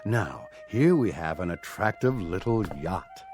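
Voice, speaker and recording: An upbeat salesman in a radio drama, all breezy patter as he pushes expensive goods. His voice is mid-pitched and swings up and down a lot, with light music under it. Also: lively intonation, measured pace, darkish tone. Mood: salesy. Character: pushy upbeat male salesman.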